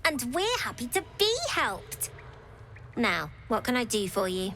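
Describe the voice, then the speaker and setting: A woman's voice: fairly high pitch, big pitch swings, measured pace, fairly bright tone, slight background noise, full character voice. A woman voicing a brash, businesslike young girl who leads child thieves in a video game. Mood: cocky, approving. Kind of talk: video game dialogue acting.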